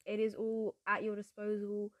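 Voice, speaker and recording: A young woman chatting casually over her art video, a bit congested and raspy from a cold, rambling with fillers. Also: mid-range voice, monotone, moderate pace, dry recording. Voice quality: slightly raspy. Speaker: artist vlogger. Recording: voice-over with music behind.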